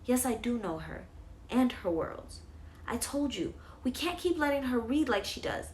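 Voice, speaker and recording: A woman's voice: a little high, big pitch swings, moderate pace, darkish tone, slight background noise, pitched above the performer's own voice, rough recording. A woman voicing a worried, stern mother in a children's story, insistent and fretful.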